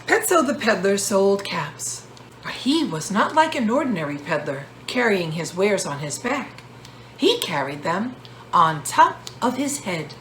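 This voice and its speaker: A woman author narrating a children's storybook in measured, deliberate phrases. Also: mid-range voice, big pitch swings, a bit bright, slight background noise. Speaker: author reading a book.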